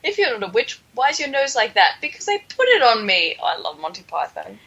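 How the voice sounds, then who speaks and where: A woman's voice: fairly high pitch, big pitch swings, fairly quick, a bit bright, husky, highs cut off. A woman co-hosting a podcast in casual comedic chat, with a playful, mock-dramatic sarcasm. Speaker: podcast co-host.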